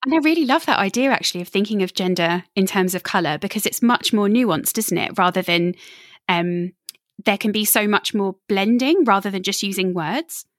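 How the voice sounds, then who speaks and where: A woman hosting a casual interview. She keeps affirming her guest and encourages them to keep talking, with a voice at a middling pitch. The recording is dry and close, with no room echo. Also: normal speed.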